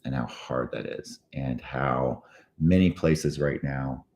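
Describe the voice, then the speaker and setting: Hoarse, very deep-voiced man. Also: a little mellow. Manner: frequent throat clearing, halting with many fillers. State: tired. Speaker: host of a short morning show. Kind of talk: casual morning monologue.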